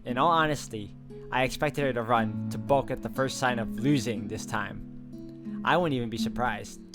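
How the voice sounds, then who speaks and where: Man, visual novel narration, read aloud, mid-to-normal pitch, guitar music in the background. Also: normal speed. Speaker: video game player and narrator. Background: guitar game music.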